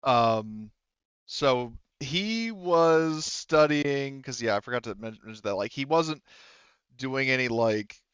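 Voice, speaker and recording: A man working as game master, narrating a tabletop game in a casual, improvised, halting way. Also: mid-range voice, expressive, fairly bright tone, clean tone, dry recording, muffled recording. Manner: halting, conversational.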